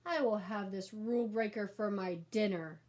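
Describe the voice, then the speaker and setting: A woman voicing an indignant, hungry male rug monster in a storybook, speaking in a loud, theatrical, menacing way. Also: medium pitch, steady tone, moderate pace, lightly acted.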